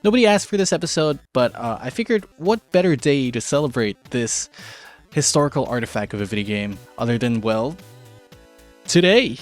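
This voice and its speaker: A man's voice: mid-range voice, animated, normal speed, faint music behind. A man hosting an art tutorial video, narrating in a semi-scripted but casual way with playful, tongue-in-cheek asides. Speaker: video show host, art tutorial presenter.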